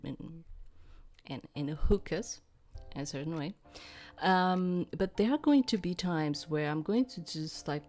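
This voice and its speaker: A woman's voice: deep voice, a little mellow. A middle-aged woman hosting a gaming channel, chatting casually and rambling, with hesitant explanations to her viewers.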